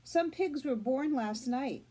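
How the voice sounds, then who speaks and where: A woman reads the part of a matter-of-fact farm mother in a storybook. She speaks in a fairly high voice, plain and firm as she explains. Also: lively intonation, slow pace, dark tone, heavily acted.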